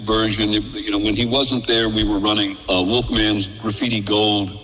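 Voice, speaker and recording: Older male radio veteran, bright forward voice, casual animated raconteur, heavy reverb on the recording. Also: fairly low pitch, moderate pace, soft background music. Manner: animated retelling, acting out quoted exchanges. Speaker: interview guest, former radio station programmer. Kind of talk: casual anecdotal storytelling.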